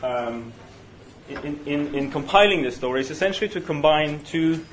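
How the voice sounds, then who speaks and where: A man with a Norwegian accent leading a university study session, talking in a halting way with many fillers and restarts. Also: on the high side, lively intonation, slight background noise, rough recording. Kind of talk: university seminar lecture. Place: university room. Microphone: single room mic.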